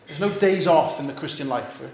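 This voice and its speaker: Man, fifty, pastor, earnest sermon, short repeated phrases, slightly high voice, echoey church. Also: expressive, a little fast, a little mellow.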